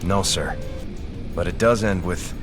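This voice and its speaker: Dutiful game first officer, fairly low voice, formal and measured, music behind. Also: male voice. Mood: serious, businesslike. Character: dutiful, formal male military second-in-command. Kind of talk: video game cutscene acting. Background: game soundtrack music.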